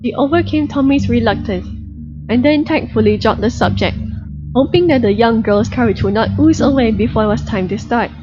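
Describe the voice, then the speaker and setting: A woman's voice: fairly high pitch, fairly quick. A woman reading a storybook aloud as a scripted narration. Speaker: audiobook narrator. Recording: dull lo-fi recording, music behind. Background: background music.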